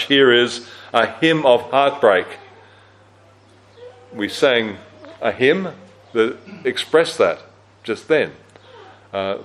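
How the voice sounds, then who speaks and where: Man, low register, mid pitch; conversational Bible teacher at a church camp, loose and unscripted, with fillers. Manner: conversational, with frequent fillers and self-corrections. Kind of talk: teaching a Bible study talk.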